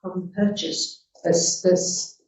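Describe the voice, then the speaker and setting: A woman councillor at a formal meeting, putting forward a motion and reading its wording slowly and carefully. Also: a little mellow, a little hiss or hum. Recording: distant, echoey, dull room mic.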